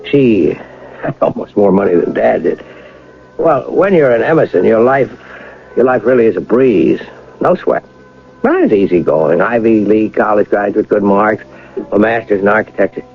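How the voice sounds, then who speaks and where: Radio drama: privileged, bitter young man, intense delivery, animated pitch, dull broadcast sound. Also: moderate pace, husky, faint music behind, full character voice. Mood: breezy, reflective. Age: young adult. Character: embittered wealthy young Ivy League man. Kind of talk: radio drama acting and first-person narration.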